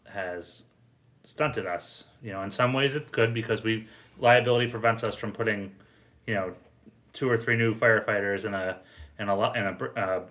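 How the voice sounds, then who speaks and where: A man's voice: lowish voice, sharp tone. A man hosting a casual discussion show, talking conversationally as he frames questions for his guests. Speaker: podcast host.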